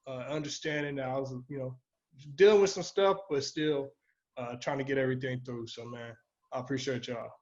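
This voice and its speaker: A man's voice: medium pitch, steady tone, fairly quick. A man co-hosting a podcast in casual, slangy talk with free swearing, on dull lo-fi audio. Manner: informal and slangy, swears freely. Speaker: podcast co-host.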